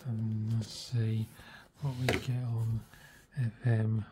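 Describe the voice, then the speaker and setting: Man, casual gadget commentary, even monotone, halting muttered delivery, noisy handheld home recording. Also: on the low side. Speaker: radio hobbyist reviewer. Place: indoors in a house.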